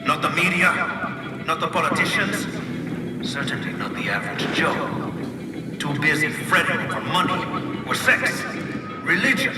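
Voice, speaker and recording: An actor playing a taunting, cynical mercenary swordsman in a video game cutscene, with mocking, drawn-out delivery. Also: fairly high pitch, sing-song, heavy background noise. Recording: roomy, reverberant, distant, over loud music.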